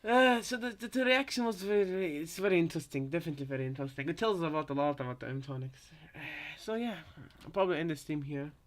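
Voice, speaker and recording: Higher-voiced man rambling on a live stream. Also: quick pace, few pauses. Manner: hesitant, rambling, repeats phrases. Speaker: live streamer reacting to game content. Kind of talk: casual live stream reaction chat. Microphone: headset.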